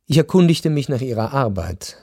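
Measured male narrator, reflective. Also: mid-range voice, very animated, warm tone, dry recording, clean studio sound. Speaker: narrator of radio drama. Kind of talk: literary first-person narration.

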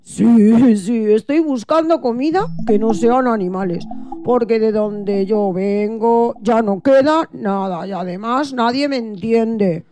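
A woman's voice: fairly high pitch, takes it slowly, warm tone, soft background music. A woman playing a friendly, earnest young crocodile in a children's radio play, exclaiming in a childlike way.

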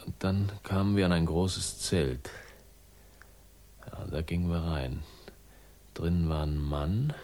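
A man's voice: deep voice, takes it slowly, pauses often, warm tone. An actor playing a world-weary, sardonic man with a troubled past, speaking tersely in a radio crime drama. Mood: dreamy, uneasy. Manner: terse, fragmented, wry. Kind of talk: 1960s German radio crime drama acting.

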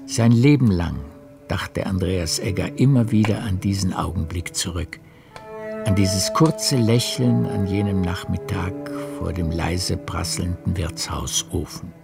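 A man narrating a literary radio play in a measured storytelling manner. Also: low-pitched, gravelly, over loud music.

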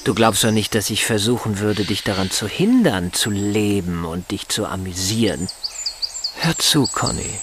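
An actor in a German radio drama playing a bitter, sardonic husband in a wheelchair who lectures and rationalizes with emphasis. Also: male voice, lowish voice, animated, moderate pace, a bit bright, slight background noise. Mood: bitter, insistent.

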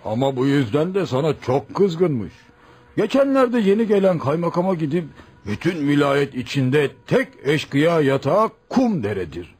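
An actor in a Turkish radio play as an indignant, skeptical villager, speaking in an emphatic, opinionated way. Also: male voice, a little high, big pitch swings, normal speed, a little mellow, husky. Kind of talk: Turkish radio theatre acting.

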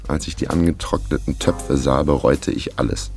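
An actor playing a cheeky, bluffing amateur detective and wry narrator in a German radio crime drama, deadpan and self-assured. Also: male voice, rumbling low, moderate pace, vocal fry, soft background music. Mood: rueful.

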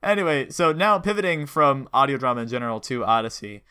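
A man's voice: medium pitch, expressive, fast, pushed and bright, clear, resonant tone. A man talking casually in an interview as an audio drama producer.

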